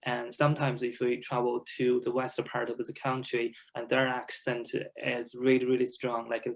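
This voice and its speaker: A man's voice: mid-range voice, monotone, normal speed, vocal fry. A man from China speaking English as a second language as a guest on an online alumni panel, talking hesitantly with many fillers and frequent laughs. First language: Chinese. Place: home, over a video call.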